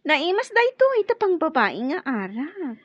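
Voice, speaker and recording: A woman with an Ilocano accent playing a lively, servile household helper in a radio comedy drama. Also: sits high, normal speed, no echo, muffled recording. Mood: comic.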